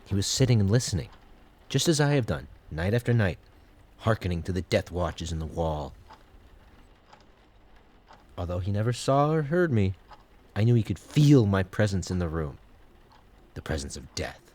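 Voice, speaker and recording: An actor playing an obsessive madman who confesses to murder in intense, theatrical gothic narration, swinging between hushed and loud delivery. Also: deep voice, very animated, moderate pace, pauses often. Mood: tense, secretive. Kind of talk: dramatic first-person gothic narration, audio drama.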